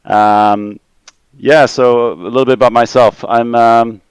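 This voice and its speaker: A man's voice: medium pitch, fairly even intonation, measured pace, clear, resonant tone, no echo. A middle-aged man from Finland, a podcast guest, talking casually and thoughtfully, often repeating words as he finds his phrasing. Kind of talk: casual interview conversation. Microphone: remote call line.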